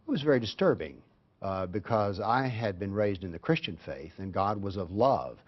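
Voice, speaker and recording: A man answering as a guest on a talk show, recounting his experience in a measured, earnest way. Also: lowish voice, lively intonation, dull audio. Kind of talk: interview answers.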